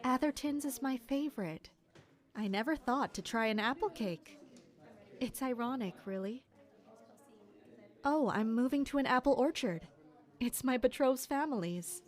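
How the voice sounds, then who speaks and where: Chatty, high-voiced young noblewoman, played by a woman. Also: lively intonation, moderate pace, pauses often. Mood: friendly, chatty. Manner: chatty, inquisitive, candid. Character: friendly, chatty young noblewoman, betrothed, curious and candid. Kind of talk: audio drama dialogue.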